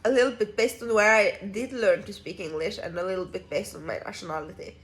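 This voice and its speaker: A woman's voice: mid-range voice, fast, low-quality recording. A woman with a Norwegian accent chatting playfully and casually with a friend in a vlog. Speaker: vlogger. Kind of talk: casual chat.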